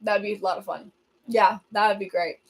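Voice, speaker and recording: A young woman from Venezuela, a native Spanish speaker, hosting a livestream and chatting casually as she answers comments, laughing often and filling pauses with um. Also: medium pitch, fairly even intonation, breathy edge, rough recording. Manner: chatty, laughs often, many ums and restarts. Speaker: livestream host.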